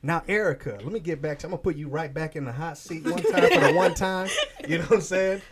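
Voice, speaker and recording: A man hosting a casual music interview, energetic and full of slang. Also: a little high, quick pace, crowd noise. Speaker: interview host.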